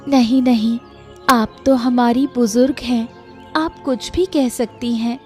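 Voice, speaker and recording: Woman as a polite, high-voiced young village woman. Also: steady tone, slow pace. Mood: gentle, confiding. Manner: respectful, patient, reassuring. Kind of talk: Hindi cartoon moral-story dialogue. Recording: music bed under the voice.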